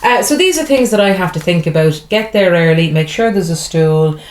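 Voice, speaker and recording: Frank, chatty Irish woman, low voice. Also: expressive, brisk. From Ireland. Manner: frank and chatty, with laughing asides. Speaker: comedian guest. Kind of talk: casual interview chat. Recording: echoey room.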